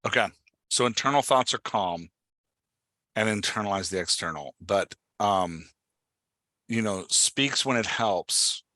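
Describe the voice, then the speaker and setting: Bright-voiced man coaching, close and dry. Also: fairly low pitch, lively intonation, unhurried, pauses often, no echo. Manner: instructive, prodding with questions. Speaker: podcast host and dialogue writing coach. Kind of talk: casual one-on-one coaching talk.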